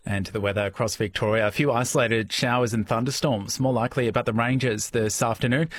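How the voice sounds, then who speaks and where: A man reading a radio news bulletin in a measured, formal manner. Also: mid-range voice, steady tone. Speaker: newsreader.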